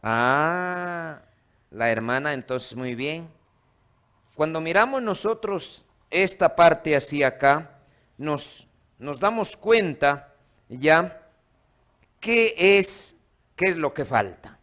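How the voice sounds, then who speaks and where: A man's voice: a little high, animated, slow pace, pauses often, a bit bright, smooth. A man from Ecuador, a pastor preaching a sermon in a church and quizzing the congregation in call-and-response. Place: church hall.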